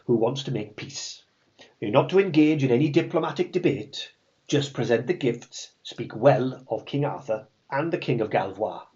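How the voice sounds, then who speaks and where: A man's voice: mid-range voice, expressive, moderate pace, a little mellow, lo-fi sound, natural voice. A man voicing Merlin, an old male wizard, with a put-on Welsh accent, giving orders. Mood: commanding. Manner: commanding, briefing tone.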